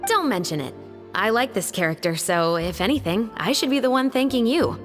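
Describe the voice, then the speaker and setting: A mid-pitched voice with lively movement, a woman playing a dignified young officer who answers with composed politeness. A soft reverb trails her lines, and music plays clearly underneath. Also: fairly quick, close to an everyday voice. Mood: polite.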